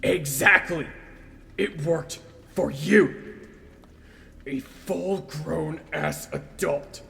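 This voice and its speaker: A man playing a wounded, bitter son confronting his mother in an audio drama, accusing and emphatic in a halting way. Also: fairly high pitch, gravelly. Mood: angry outburst. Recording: faint background noise.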